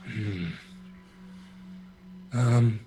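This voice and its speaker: A man's voice: on the low side, steady tone, drawn-out words, dark tone. A man casually describing his moves as a player in a tabletop game, offhand and hesitant. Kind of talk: casual improvised role-play narration.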